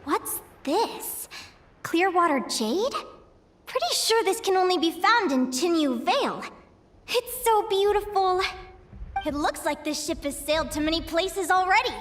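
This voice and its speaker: A woman's voice: very high voice, expressive, a little slow, slight background noise. A woman voicing a young adventurer in a game cutscene, eager and full of wonder as she talks to herself. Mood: curious. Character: young woman adventurer dreaming of owning a ship.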